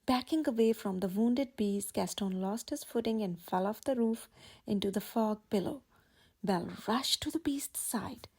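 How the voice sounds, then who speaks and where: A woman with a breathy voice reading a children's story aloud in an expressive way. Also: mid-range voice, normal speed. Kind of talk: expressive scripted read-aloud narration for children.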